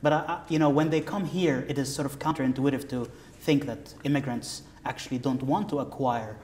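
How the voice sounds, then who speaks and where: A young man whose first language is Arabic talks in fluent English, his speech full of "uh" and "you know" fillers. His voice is mid-pitched. The recording has a faint background hiss. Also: steady tone, brisk, darkish tone. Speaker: interviewer. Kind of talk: conversational interview.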